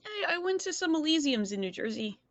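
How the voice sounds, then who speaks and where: A woman playing a forgetful, earnest young vampire in a tabletop game, stammering and halting as she talks. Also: high voice, big pitch swings, dull audio, pitched above the performer's own voice. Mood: confused, unsure.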